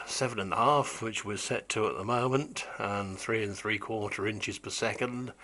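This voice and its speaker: A man's voice: on the low side, a bit bright. A man casually demonstrating vintage audio equipment he repaired, explaining in a chatty way with wry asides.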